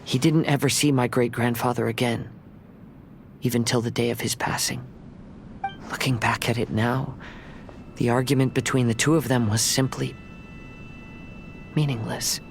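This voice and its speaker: A man playing a gentle, pensive young wandering samurai in a video game, speaking in a measured, polite, reflective way. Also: mid-range voice, fairly even intonation, vocal fry, noisy background, natural voice. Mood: somber. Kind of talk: scripted video game voice acting.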